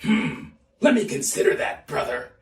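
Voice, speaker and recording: A man's voice: a little high, sing-song, moderate pace, gravelly, far from the mic, slight background noise. A man cutting a parody wrestling promo, all bluster and bravado, tacking "brother" and "dude" onto his lines. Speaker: fan wrestling promo performer. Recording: roomy echo, off-mic.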